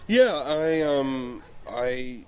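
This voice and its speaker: A man in a casual podcast conversation, thinking aloud in a loose, halting, reflective way on an outdoor porch. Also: mid-range voice, animated, a little mellow, clean tone. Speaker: podcast guest, filmmaker.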